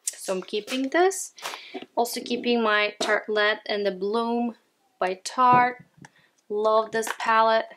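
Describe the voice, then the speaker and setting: A woman's voice: a little high, slow, background noise. Chatty woman with a Ukrainian accent. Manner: chatty, often addresses viewers as "you guys". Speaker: beauty vlogger. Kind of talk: casual makeup declutter chat. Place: at home, indoors.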